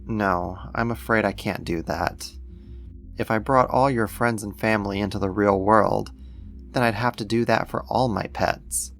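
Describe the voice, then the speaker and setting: A man playing a doting alien creator who soothes a human pet, affectionate and gently possessive, in an ASMR roleplay. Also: on the low side, moderate pace, creaky, soft background music. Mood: gentle, coaxing.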